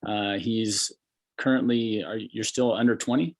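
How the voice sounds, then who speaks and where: A middle-aged American man with a fairly low voice hosts a podcast. He chats casually and enthusiastically, rambling along in a colloquial way. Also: steady tone, unhurried. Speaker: podcast host. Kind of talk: casual podcast interview chat.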